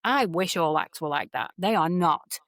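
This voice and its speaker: A woman's voice: fairly low pitch, moderate pace, dry recording, studio quality. A woman in her forties from the UK, a guest entertainer talking candidly in an interview and acting out quoted voices. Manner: candid and animated, quotes others in lively voices. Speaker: guest entertainer and talent mentor.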